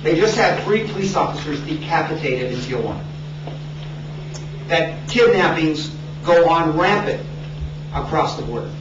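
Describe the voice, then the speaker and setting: A man's voice: a little high, expressive, unhurried, pauses often. Emphatic man speaking at a news conference. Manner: emphatic, with uh fillers and quoted outbursts. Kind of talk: prepared political statement. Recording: echoey, distant, dull, noisy.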